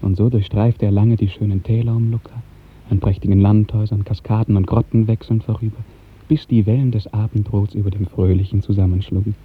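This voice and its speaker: A man narrating a literary 1950s radio drama in a measured, poetic storytelling manner. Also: on the low side, flat delivery, husky. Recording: muffled narrow 1950s radio recording.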